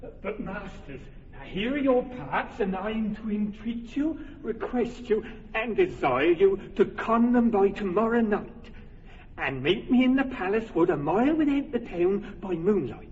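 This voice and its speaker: An actor playing an earnest, fussy carpenter who directs a village play, persuasive and organizing, in a radio drama. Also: male voice, sits high, lively intonation, measured pace, darkish tone. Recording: dull lo-fi radio recording with hall reverb.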